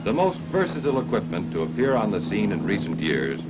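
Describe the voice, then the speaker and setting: Vintage training-film narrator: American male, low register, very animated intonation, formal and instructional, dull old soundtrack. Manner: formal, measured, instructional delivery. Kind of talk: mid-20th-century military training film narration. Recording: muffled mid-century film soundtrack, music behind.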